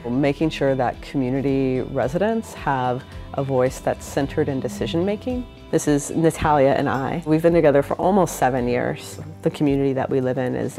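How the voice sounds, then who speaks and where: Female candidate, American, very deep and dark-toned, personal testimony over faint music. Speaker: city council candidate. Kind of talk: personal testimony in a campaign video.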